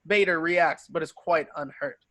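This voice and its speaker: A man's voice: on the high side, moderate pace, fairly bright tone, no echo. A man narrating scripted stage directions for a table read.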